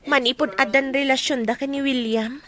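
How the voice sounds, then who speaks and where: A woman's voice: high-pitched, steady tone, moderate pace, fairly bright tone. A woman playing an earnest, deferential young woman with an Ilocano accent in a radio drama. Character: young woman confiding respectfully in an older woman.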